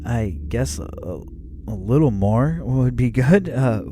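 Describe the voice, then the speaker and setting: A man's voice: medium pitch, animated, background noise, lightly acted. A man voicing a hesitant, eager male podcaster in a horror story, stammering with awe and uncertainty. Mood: hesitant, wanting. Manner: halting, stammering, awed, uncertain. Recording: music behind the voice.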